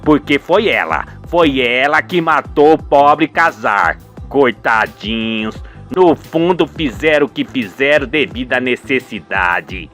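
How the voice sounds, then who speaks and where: A man's voice: medium pitch, animated, slow, a bit bright, soft background music, pitched below the performer's own voice. A man voicing an indignant old cheesemaker, folksy and emphatic, in a radio soap opera. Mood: accusing, indignant.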